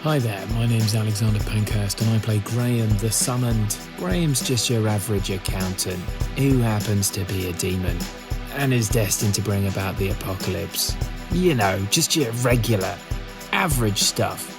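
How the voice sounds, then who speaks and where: A man's voice: on the low side, normal speed, over music. A man introducing himself and his character as a player, with dry, understated humour.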